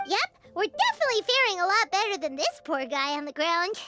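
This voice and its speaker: A woman's voice: very animated, fairly quick, sharp tone, faint music behind, exaggerated voice. A woman voicing a tiny, childlike floating fairy girl, perky, chatty and exclamatory, in a video game. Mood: curious, teasing. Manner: squeaky, exclamatory, speaks of self in third person.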